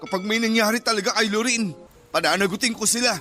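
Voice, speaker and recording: A man playing an emotional, guilt-ridden father who is quick to anger, in a radio drama. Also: high-pitched, bright, forceful tone, faint music behind. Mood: angry, insistent.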